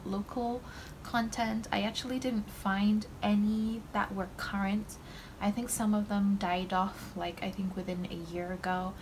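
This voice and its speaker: A young woman from Malaysia chats unhurriedly at her desk, counting out money as she explains her budget. Her pitch sits in a middling range and her talk drifts with ums and likes. A clear layer of background noise runs under the recording. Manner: slow, relaxed, with many ums and likes. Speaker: budgeting channel host. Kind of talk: casual talk to camera while counting cash. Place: indoors at a desk. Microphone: overhead camera framing desk and hands.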